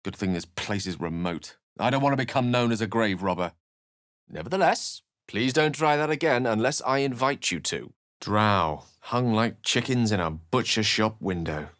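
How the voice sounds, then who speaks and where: An actor playing an erudite, courteous wizard in a fantasy video game, speaking in an eloquent, formal and dramatic way, with wry and melancholy shades. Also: male voice, on the low side, expressive, moderate pace, a bit bright, no echo, studio quality. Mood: measured, wary. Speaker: video game companion character. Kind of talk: theatrical fantasy game dialogue and narration.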